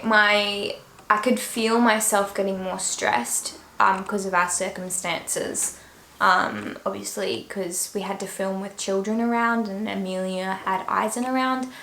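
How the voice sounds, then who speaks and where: A woman's voice: mid-range voice, bright, forceful tone. A young Australian woman with an Australian accent answering questions in a casual vlog, chatty and full of fillers and trailing asides. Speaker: YouTuber. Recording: echoey room with faint noise.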